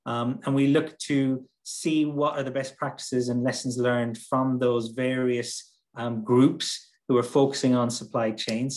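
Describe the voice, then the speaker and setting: A man giving a conference presentation over a video call, speaking conversationally with many fillers and restarts. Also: mid-range voice. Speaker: presenter from a humanitarian logistics nonprofit. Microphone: computer mic on a video call.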